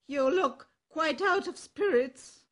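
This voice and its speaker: Grandma doing a hearty, bossy donkey. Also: female voice, high-pitched, lively intonation, slow, voice pushed up. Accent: German. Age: older. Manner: loud, hearty invitations. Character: kindly old male donkey, bossy and encouraging.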